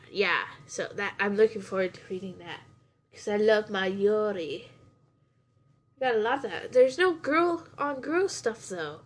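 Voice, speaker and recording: A young woman hosts a laid-back podcast, her voice in an ordinary middle pitch. She has a runny nose and sniffles now and then. Her talk rambles, with lots of restarts and side turns. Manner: rambling, chatty, many restarts. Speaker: podcast host reviewing anime. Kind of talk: casual chat.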